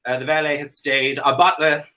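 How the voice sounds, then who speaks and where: Theatrical man with a bright, forceful voice. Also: fairly high pitch, flat delivery, normal speed. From Canada. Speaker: drag comedy performer, talk show guest. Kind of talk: comic interview chat in a dandy persona. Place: indoor room at home, on a video call. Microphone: video call.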